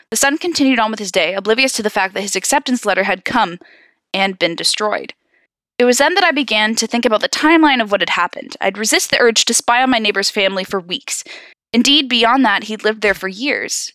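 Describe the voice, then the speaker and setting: A woman's voice: a little high, no room sound. A woman podcast host reading horror stories aloud, with casual, amused asides. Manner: giggly, animated in asides.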